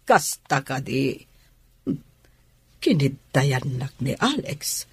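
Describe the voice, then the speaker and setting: A woman's voice: very deep voice, big pitch swings, very slow, husky, dry recording, exaggerated voice. An actress with a Filipino Ilocano accent playing a generous, motherly businesswoman in a radio comedy-drama. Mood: probing.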